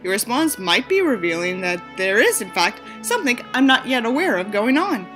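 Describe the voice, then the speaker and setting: A woman's voice: a little high, big pitch swings, moderate pace, music in the background. A woman reading an earnest, self-important teenage boy in an audiobook, stiff and overly philosophical. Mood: apologetic, earnest. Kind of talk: audiobook character dialogue.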